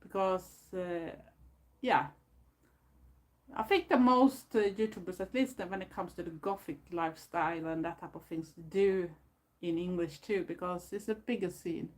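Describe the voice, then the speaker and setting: A woman's voice: lowish voice, a little slow. Swedish accent lady rambling on a live stream from her bedroom, lots of uh and restarts. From Sweden. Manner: chatty, rambling, many fillers and restarts. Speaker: goth YouTuber. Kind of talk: casual live stream chat. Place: bedroom at home, door closed.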